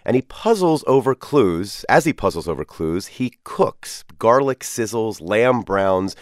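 A man anchors a scripted radio news introduction. His very low voice moves a lot in pitch, and the recording is dry and close. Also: lively intonation, a little slow, dry recording.

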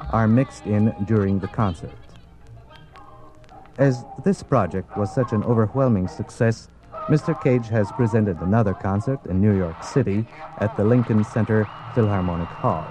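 A man with a low voice narrates from a script in a formal, measured announcer style. Music plays clearly behind him as he speaks. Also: a little mellow.

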